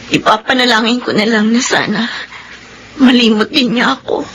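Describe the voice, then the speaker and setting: A woman playing a gentle, emotional young sweetheart and daughter in a Filipino radio melodrama, with a Filipino accent. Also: a little high, moderate pace. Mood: sad, resigned. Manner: tender, emotional. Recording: noisy radio drama broadcast.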